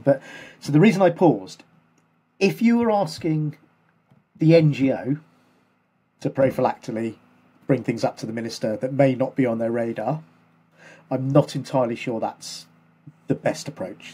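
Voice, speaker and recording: Brief: male co-host, chatty explainer, soft husky tone, loose rambling delivery. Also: mid-range voice. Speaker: podcast co-host. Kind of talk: casual chat.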